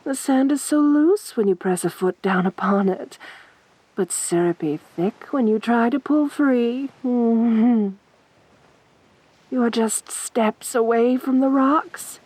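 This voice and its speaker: Lady doing a cruel siren monster girl for asmr, high soft voice, super slow, taunting and coaxing. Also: no room sound, poor audio. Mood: gloating, cruel. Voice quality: soft, breathy. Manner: taunting, drawn-out coaxing with mock sympathy. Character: sadistic, teasing female siren, a winged predator. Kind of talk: ASMR roleplay monologue.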